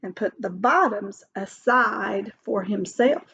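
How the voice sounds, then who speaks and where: A woman reading a children's story aloud like a teacher, with deliberate, expressive phrasing. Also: medium pitch, vocal fry, a little hiss or hum. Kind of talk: slow storybook read-aloud. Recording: dull lo-fi recording.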